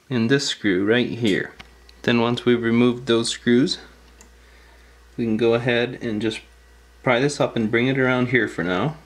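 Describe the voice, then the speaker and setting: A man giving casual, step-by-step hands-on instructions in a plain-spoken, informal way. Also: mid-range voice. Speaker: repair tutorial host.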